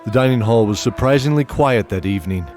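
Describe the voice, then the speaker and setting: A man narrating a fantasy audio drama in steady, descriptive scripted storytelling. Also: mid-range voice, fairly quick, soft background music.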